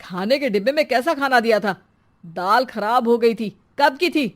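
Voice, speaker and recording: A man's voice: very high-pitched, animated, moderate pace. A man acts out a domineering middle-aged father and husband in a cartoon story. He is harsh and belittling. He talks in a scolding way.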